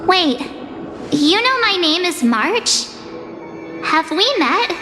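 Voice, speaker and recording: A bubbly, trusting teenage game heroine with a very high voice that swings animatedly. She speaks slowly and expressively, and her words ring in long reverb over music. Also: heavily acted. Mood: curious. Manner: exclamatory, expressive, hesitant when puzzled. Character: bubbly, excitable, trusting teenage girl adventurer. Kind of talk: video game voice acting. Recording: long reverberant hall effect.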